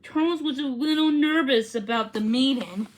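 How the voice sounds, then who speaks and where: A woman reading a children's storybook aloud, haltingly, stumbling over words and correcting herself. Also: sits high, poor audio. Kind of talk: read-aloud storybook narration.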